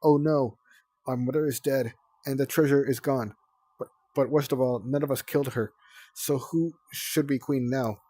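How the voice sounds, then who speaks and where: A man's voice: medium pitch, fairly even intonation, moderate pace, darkish tone, breathy edge, dry recording, lightly acted. A man voicing a bossy eldest young female dragon, firm and exasperated. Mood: dramatic.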